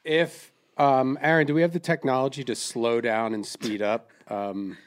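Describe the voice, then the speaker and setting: A man hosting a loose comedy podcast, taking charge and steering the talk between his guests. His voice sits at an ordinary middle pitch, and he keeps things moving in a bossy, plan-laying way. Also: level tone, normal speed. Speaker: podcast host. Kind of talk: casual comedic podcast banter.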